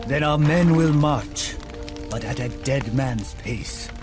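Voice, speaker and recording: A man's voice: medium pitch, takes it slowly, over music, natural voice. A man playing a defiant samurai warrior in a game cutscene, speaking bluntly and intensely. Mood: grim, pleading. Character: defiant samurai soldier or rival general. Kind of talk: dramatic game cutscene acting.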